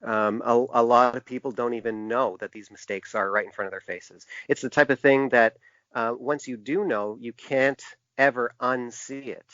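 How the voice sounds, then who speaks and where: A man's voice: medium pitch, fairly even intonation, normal speed, a bit bright, dry recording. A man answering interview questions at length in a conversational, hesitant way, as a podcast guest. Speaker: podcast guest, typographer and graphic designer. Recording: dull, lo-fi, dry podcast audio.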